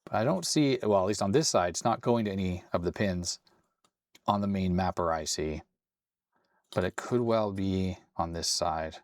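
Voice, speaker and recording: A man hosting a hands-on tech video with a slightly hoarse, raspy voice, thinking aloud in a tentative, self-deprecating way. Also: sits low, normal speed, no room sound. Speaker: host. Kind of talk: casual hands-on tech walkthrough.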